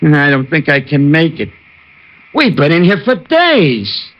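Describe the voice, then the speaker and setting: A man acting a slow-witted, grumbling police sidekick in an old American radio comedy-drama, slangy and whining. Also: on the high side, huge pitch swings, full character voice. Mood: complaining. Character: dim, grumbling, heavyset male police sergeant sidekick. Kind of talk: 1940s-50s comic radio drama acting. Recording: noisy, muffled old-time radio recording.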